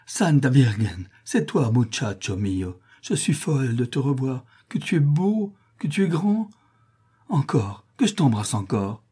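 A man's voice: medium pitch, animated, measured pace, long pauses, warm tone, husky. A man voicing a passionate, tender Mexican mother in a storybook, exclaiming effusively and full of emotion. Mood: overjoyed. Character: overjoyed, tearful Mexican mother, passionate former wet nurse.

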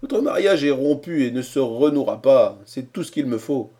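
A man voicing a calm, courteous man from Brittany who speaks politely and evenly. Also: medium pitch, normal speed, pitched above the performer's own voice, close to an everyday voice. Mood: ironic. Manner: polite and composed.

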